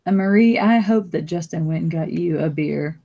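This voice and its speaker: A woman's voice: fairly low pitch, moderate pace, creaky, warm tone, dull audio. A woman from Alabama with a Southern accent, hosting a casual live stream chat and laughing often.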